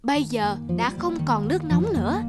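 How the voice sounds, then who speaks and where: Woman doing middle aged male teacher in a cartoon, measured n patient, music behind. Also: sits high, lively intonation. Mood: patient, explaining. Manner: measured, instructive. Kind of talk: cartoon dubbing.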